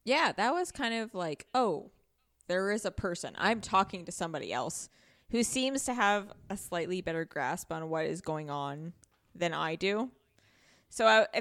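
A woman's voice: on the low side, vocal fry, dry recording, studio quality. A woman podcast co-host chatting casually and thinking aloud. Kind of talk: casual chat.

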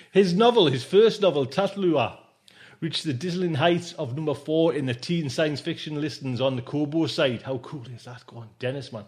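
A man with a higher-than-usual voice hosts a podcast. He talks casually and loosely, wandering through his points and checking in with listeners in an informal, chatty way. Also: darkish tone. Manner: chatty and informal, full of conversational tag phrases. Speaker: podcast host. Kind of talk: casual, rambling podcast chat.

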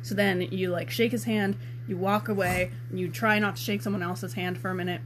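A woman's voice: mid-range voice, fairly even intonation, a little fast, a little hiss or hum. A teenage girl in casual comedic chat, giggly and exclamatory, rambling along in slang. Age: teenager, about sixteen. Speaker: podcast co-host reacting and reading dialogue.

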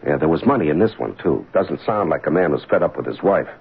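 An actor playing a hot-tempered married police detective with an American accent, colloquial and emphatic, in old-time radio drama style. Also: male voice, deep voice, animated, fast talker, creaky, a little hiss or hum. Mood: businesslike. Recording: muffled lo-fi radio recording.

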